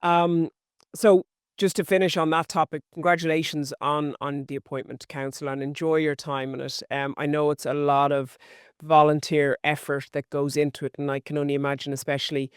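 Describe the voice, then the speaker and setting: A woman hosting an interview podcast, encouraging and warm, often repeating words as she finds her phrasing. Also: low-pitched, level tone, brisk, clear, resonant tone, dry recording, clean studio sound. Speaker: podcast host.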